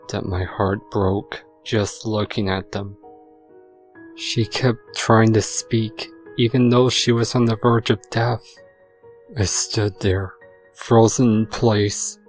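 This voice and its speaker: A man playing a guilt-ridden stallion confessing in prayer, in a sombre, measured, remorseful way for a dramatic fan fiction reading. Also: on the low side.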